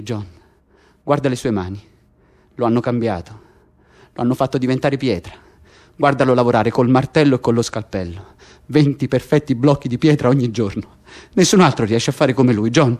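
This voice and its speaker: A man's voice: medium pitch, a little mellow, lightly acted. An actor playing a bitter, despairing political prisoner in intense naturalistic radio theatre, insistent and repetitive as he addresses his cellmate.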